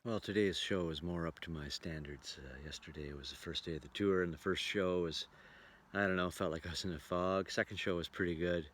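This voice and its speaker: A man's voice: low voice, brisk, fairly bright tone. A man chatting casually to camera as a travelling entertainer keeping a video diary. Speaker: touring radio-show entertainer and vlogger. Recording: outdoor recording with clear background noise.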